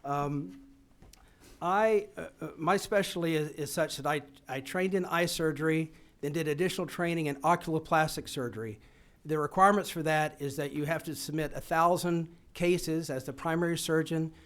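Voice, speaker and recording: A male doctor giving testimony to a state committee, his voice sitting a little high for a man. He talks haltingly, full of ums and restarts as he makes his case. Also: a bit bright. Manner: hesitant, many fillers and restarts. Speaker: physician testifying. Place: legislative committee room.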